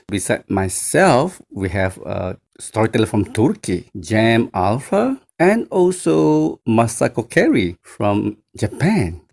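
A male storyteller from Malaysia with a Mandarin-speaking background, answering interview questions in a deliberate way with frequent fillers. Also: on the low side, vocal fry, darkish tone. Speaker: storyteller guest.

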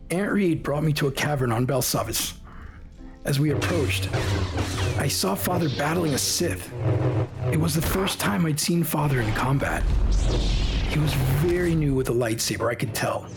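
A grown son played by a man tells of his past in an audio drama, in a fairly high male voice with faint music behind. His telling is measured and full of feeling. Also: natural voice. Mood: reflective. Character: grieving adult son recounting a formative childhood memory.

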